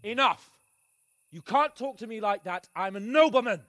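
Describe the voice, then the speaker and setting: Spoiled, boastful young lord for a video game: high bright voice, slow sneering drawl. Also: male voice, expressive, no room sound, studio quality. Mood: indignant. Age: young adult. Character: arrogant, hot-tempered young nobleman, spoiled and boastful. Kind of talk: dramatic video game acting.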